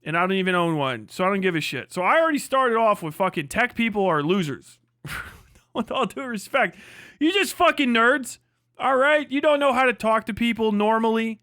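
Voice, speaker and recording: Brash, bright-voiced man with high pitch. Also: lively intonation, normal speed, no room sound, clean studio sound. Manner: brash, profane, mocking, emphatic. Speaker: livestream host. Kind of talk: casual livestream rant.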